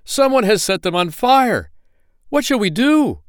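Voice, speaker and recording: Panicky little goblins squeal and fret in a high, anxious voice, as a man reading a bedtime story puts them on. Their lines jump about with lively alarm. The sound is close and dry. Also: normal speed, dry recording.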